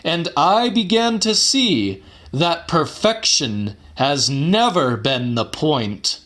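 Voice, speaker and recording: A fervent male scientist holds forth to his audience in a horror audiobook, as acted by a male reader. His voice is fairly high and swings widely in pitch. He speaks very slowly, with formal, theatrical oratory. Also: very animated, a bit bright, heavily acted. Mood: impassioned. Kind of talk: dramatic audiobook monologue.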